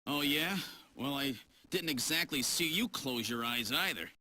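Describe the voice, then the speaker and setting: A man's voice: mid-range voice, expressive, unhurried, bright, forceful tone, heavily acted. A man playing a wisecracking, flirtatious detective in a video game, casual and wry, hesitating when flustered. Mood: flustered, indignant. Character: wisecracking, flirtatious male detective hunting killer androids.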